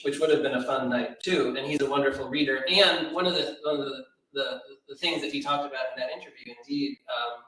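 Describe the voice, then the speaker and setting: A man talks informally to a literary audience in a very reverberant room, picked up a little from afar. His pitch is mid-range, and he speaks in a thoughtful, halting way that wanders through asides. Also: a bit bright. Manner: hesitant, digressive, thoughtful. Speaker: poetry editor and event speaker. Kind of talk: informal spoken commentary at a literary event. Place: large reverberant room at a live event. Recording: echoey room, distant microphone.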